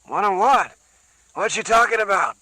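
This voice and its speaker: A man's voice: high-pitched, lively intonation, bright, forceful tone, dry recording, pitched above the performer's own voice, exaggerated voice, low-quality recording. A man doing a cornered streetwise young tough. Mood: wary. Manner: slangy, fast-talking, playing innocent.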